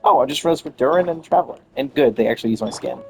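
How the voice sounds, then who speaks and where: A man's voice: mid-range voice, very fast, gravelly. A man giving casual, rambling gameplay commentary in an offhand way. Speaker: gamer, streamer. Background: game music.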